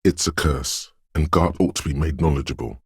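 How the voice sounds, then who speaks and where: Video game inner voice of intuition: extremely low, husky and gravelly, brooding, dreamlike and ominous. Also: male voice, no echo, clean studio sound, heavily acted. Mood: mysterious. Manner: slow, hushed, ominous, dreamlike, deliberate. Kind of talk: scripted video game narration in second person.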